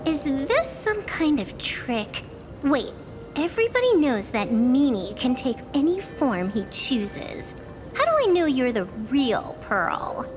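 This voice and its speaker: A woman's voice: high-pitched, sing-song, full character voice, poor audio. A woman voicing a sassy, childlike girl in a game, with cheeky, informal phrasing. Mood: suspicious. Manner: cheeky, informal, drawn-out delivery. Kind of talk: scripted game voice acting. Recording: background music under the voice. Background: music.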